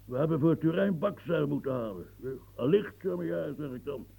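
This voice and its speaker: A man's voice: a little high, lively intonation, normal speed, vocal fry, warm tone, slightly rough, heavily acted. A man playing an aging, embittered French marshal in a radio play, stammering and repeating himself when agitated. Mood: bitter, worked-up.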